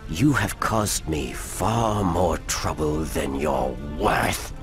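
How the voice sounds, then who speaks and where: Deep, slow, menacing alien. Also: male voice, lively intonation, over music, exaggerated voice. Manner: stern, deliberate, formal. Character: menacing, formal male alien servant of a master. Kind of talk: video game cutscene voice acting.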